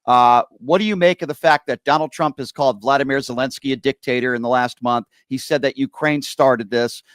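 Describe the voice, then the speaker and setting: An American man with a mid-pitched voice hosts a political interview. His sound is dry, with no room echo, as he puts questions and gives his own opinionated take. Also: brisk, a bit bright, smooth. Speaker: political talk show host, interviewer.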